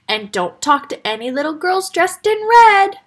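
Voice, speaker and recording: A woman's voice: very high-pitched, very animated, a little slow, voice pushed up, full character voice. A woman voicing an old, fussing mother wolf with a shaky voice. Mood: doting.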